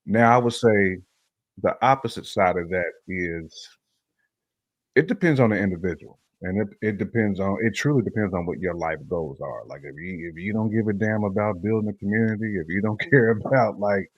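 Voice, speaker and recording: A man's voice: on the low side, moderate pace, no echo, lo-fi sound. A middle-aged man in casual, colloquial conversation, giving his views as a panelist on a talk show. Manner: informal, colloquial, laughs while talking. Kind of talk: casual opinionated chat.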